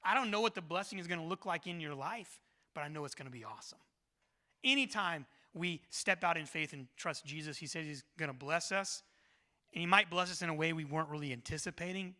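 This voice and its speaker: A middle-aged man preaching a sermon as pastor, energetic and earnest, repeating his key phrases. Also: on the high side.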